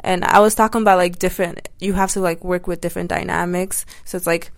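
Thoughtful young woman, lowish voice. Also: fairly even intonation, fast. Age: young adult. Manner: thoughtful, many "like" fillers. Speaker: student guest. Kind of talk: casual conversation.

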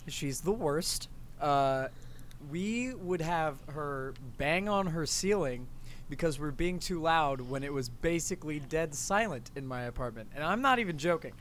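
Young man, American accent, bright tone, blunt casual podcast storytelling, faint background noise. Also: on the high side. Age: young adult. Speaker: podcast co-host. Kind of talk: casual chat and storytelling among friends.

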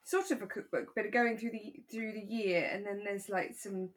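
Relaxed British woman chatting as podcast host. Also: mid-range voice, moderate pace. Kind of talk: relaxed casual chat.

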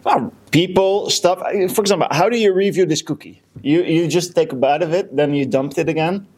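Brief: young male podcast co-host, slightly higher voice, halting delivery, lots of ums. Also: darkish tone, breathy edge. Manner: halting, many fillers and restarts. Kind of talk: casual chat.

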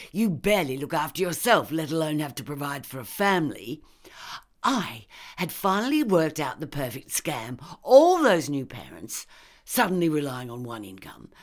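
A woman's voice: rumbling low, full character voice. A woman voicing a scornful, ranting middle-class female murderer in an audiobook, sneering and emphatic. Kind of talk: audiobook character dialogue.

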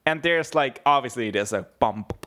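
A man's voice: mid-range voice, animated, moderate pace, a bit bright. A young man from Bavaria, chatting casually, rambling with lots of swearing and fillers. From Germany (southeast Bavaria). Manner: rambling, swears a lot, many fillers and restarts. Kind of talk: casual chat.

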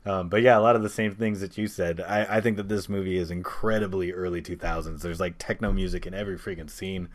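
Male podcast host, low voice, informal and conversational, film talk among friends. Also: fairly even intonation, quick pace, hardly any pauses. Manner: informal, conversational, mildly sweary.

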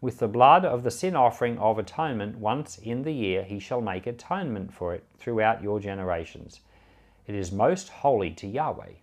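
A man reading scripture aloud in a measured, emphatic, solemn way. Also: lowish voice, expressive. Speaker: Bible reader and podcast host.

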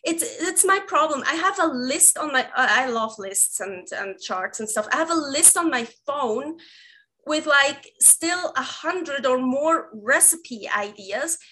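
Bright high-voiced Swiss woman. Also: lively intonation, measured pace. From Switzerland. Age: 30s. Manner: rambling, self-correcting, laughs often. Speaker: guest, recipe developer. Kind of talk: casual interview conversation.

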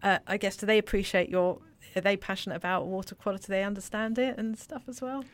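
A woman's voice: medium pitch, fairly even intonation, quick pace. Chatty woman hosting interview. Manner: chatty, backchannels with frequent yeahs, laughs easily. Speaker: podcast host. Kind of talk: casual interview chat.

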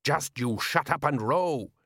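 A man voicing a gruff, hot-tempered middle-aged fisherman father in an audiobook, blustering and snapping in rustic speech. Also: on the high side, a little slow, fairly bright tone, dry recording, studio quality, voice pushed up, full character voice. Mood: angry, blustering.

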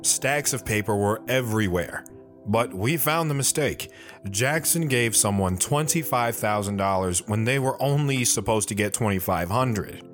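A man's voice: lowish voice, animated, normal speed, soft background music, close to an everyday voice. A man playing an earnest, guilt-ridden soldier, narrating his hard life in a sober, plain-spoken way for an audio drama. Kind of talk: dramatic first-person narration.

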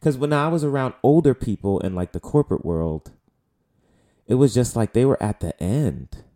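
A man's voice: medium pitch, animated, normal speed, mellow. A man in his late twenties with a New York accent, talking loosely and profanely as host of a solo podcast. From the Bronx, New York. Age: late 20s. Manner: loose, profane, rambling with many fillers. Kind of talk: casual solo podcast talk.

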